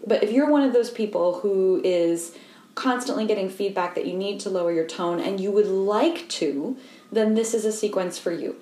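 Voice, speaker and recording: A woman voice coach giving a calm, explanatory lesson and guiding the listener through simple humming exercises. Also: medium pitch, moderate pace, reverberant. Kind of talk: instructional voice lesson.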